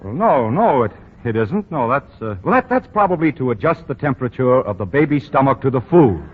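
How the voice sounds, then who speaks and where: A man's voice: mid-range voice, very animated, a little fast, slight background noise, natural voice. An actor playing a self-assured young male store boss in a 1940s radio drama who is pompous and stammers and chuckles. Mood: insistent. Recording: dull 1940 radio broadcast.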